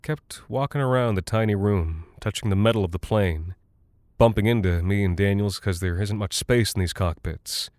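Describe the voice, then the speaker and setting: An actor playing a rattled, folksy American cargo pilot who talks in a halting, confiding way, like a personal audio log in a horror drama. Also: male voice, on the low side, darkish tone, dry recording, studio quality, close to an everyday voice. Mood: uneasy, confiding. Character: weary, rattled male military cargo pilot, chatty and folksy.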